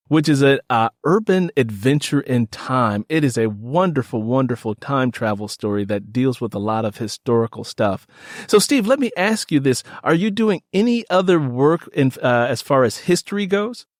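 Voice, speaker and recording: A man's voice: medium pitch, lively intonation, moderate pace, no echo, studio quality. A man hosting a podcast interview in an enthusiastic, expansive conversational way.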